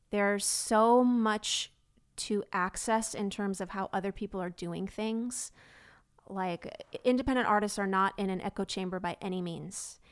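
A woman's voice: medium pitch, clean tone. A woman who is a singer-songwriter, answering interview questions thoughtfully and candidly, with the odd um. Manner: thoughtful and candid, with some ums. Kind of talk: interview conversation.

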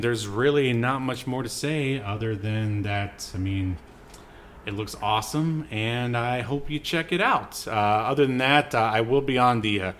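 A man's voice: mid-range voice, sharp tone. A man with a mid-range voice hosts a podcast. He talks casually in an easygoing, rambling way, moving loosely from one point to the next. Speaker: podcast host.

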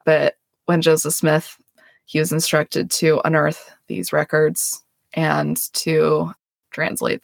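A woman's voice: low voice, gravelly, husky, dry recording. A woman hosting a podcast, explaining her faith earnestly in a casual way, with a self-deprecating, laughing manner. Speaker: podcast host.

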